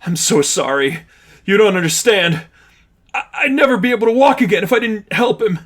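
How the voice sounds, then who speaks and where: A man's voice: high voice, expressive, moderate pace, voice pushed up. A man voicing an anguished, guilt-ridden young man in an audiobook, pleading and desperate. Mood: guilty pleading. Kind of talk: fan fiction audiobook character acting.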